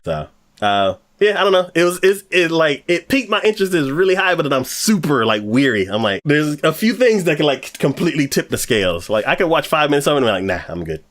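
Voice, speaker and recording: A man's voice: fairly high pitch, big pitch swings, fast, a bit bright. Rambling casual male podcast co-host. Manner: rambling, lots of "like" and "um" fillers. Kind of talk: casual anime podcast chat.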